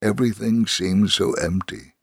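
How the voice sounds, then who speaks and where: Man reading a testimony slow and solemn, lowish voice, dry close mic. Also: steady tone, creaky, slightly rough, dry recording. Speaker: dramatic reader.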